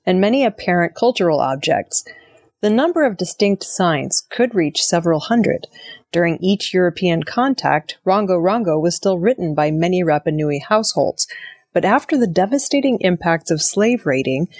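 A woman's voice: on the low side, darkish tone, no room sound. A woman reading scripted news stories as a narrator. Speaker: news reader.

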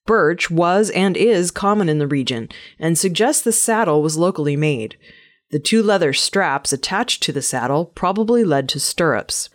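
A woman news presenter reading scripted news stories in a measured, clearly enunciated way. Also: lowish voice, animated.

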